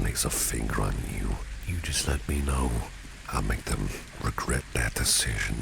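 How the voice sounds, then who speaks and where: Over steady rain noise comes a man acting as a suave, protective boss in an intimate ASMR roleplay. His half-whispered voice is extremely low and heavily creaky. He is courteous and flirtatiously insistent, with a menacing edge underneath. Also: exaggerated voice. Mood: quietly menacing. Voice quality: gravelly, breathy half-whisper. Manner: unhurried, courteous, flirtatiously insistent, repeats phrases. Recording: clear background noise with rain ambience.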